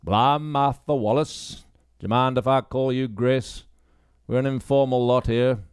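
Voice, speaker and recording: A man's voice: medium pitch, fairly even intonation, a little slow, clean tone, no room sound, studio quality, natural voice. A man voicing an elderly male constable, an easygoing and kindly old-timer in an audiobook. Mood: friendly.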